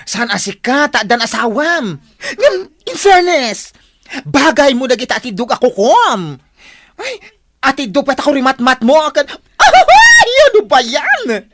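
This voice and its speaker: A woman with an Ilocano accent playing an excitable, showy, gossipy office friend in a radio comedy, full of gushing exclamations. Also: high-pitched, full character voice. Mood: gushing envy. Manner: shrieking exclamations, gushing, drawn-out delivery.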